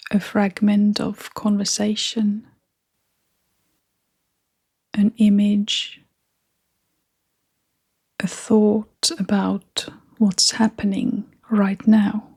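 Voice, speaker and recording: Slow, breathy woman guiding meditation. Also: medium pitch, monotone. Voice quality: soft, breathy, half-whispered. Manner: gentle, unhurried, with long pauses. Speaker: meditation guide. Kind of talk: gentle guided meditation.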